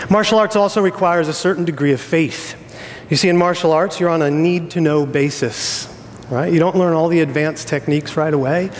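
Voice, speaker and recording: Middle-aged American pastor, higher-pitched man, in a hall. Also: slight background noise. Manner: informal, joking, checks in with "right?". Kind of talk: conversational sermon. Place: on stage in a church auditorium. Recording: reverberant hall sound.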